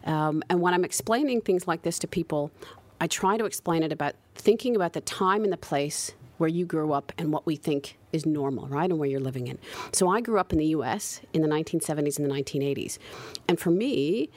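American woman in her forties, lowish voice, patient explainer who checks in with "right?", interview guest. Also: fast, hardly any pauses, darkish tone. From United States. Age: 40s. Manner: explanatory, often adds "right?". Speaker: guest researcher. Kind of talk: interview answers.

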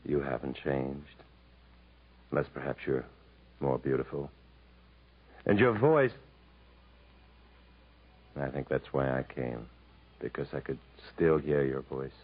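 An actor playing a weary, ardent wanderer in a 1950s radio drama, speaking in an earnest, dramatic, literary way. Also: male voice, rumbling low, slow, long pauses, slightly rough, heavily acted. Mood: tender, hopeful. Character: weary, ardent wanderer returning home to his lost love. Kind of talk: 1950s radio drama acting, narration and dialogue. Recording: tinny 1950s radio broadcast recording.

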